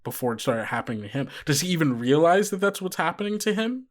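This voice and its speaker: A man's voice: a little high, sing-song, fast. A man running a tabletop horror game, narrating improvised scenes conversationally and often putting questions to his player. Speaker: game master.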